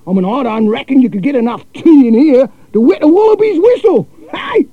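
A man voicing a loud, friendly Australian man in a storybook reading, with a hearty drawl. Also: very high voice, animated, a little fast, no room sound, voice pushed up. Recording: dull lo-fi recording.

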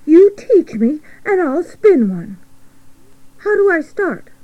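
A woman voicing an excitable, naive young male pig in a children's storybook, given to shrieks and eager exclamations. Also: very high-pitched, slow, dull audio, voice pushed up, heavily acted. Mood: eager, cheerful.

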